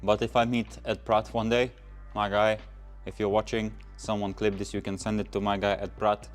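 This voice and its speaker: Deep-voiced Latvian man chatting on a livestream. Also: little pitch movement, moderate pace, soft background music. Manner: loose and rambling, many fillers and restarts. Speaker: car channel host. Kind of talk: casual livestream Q&A reading chat.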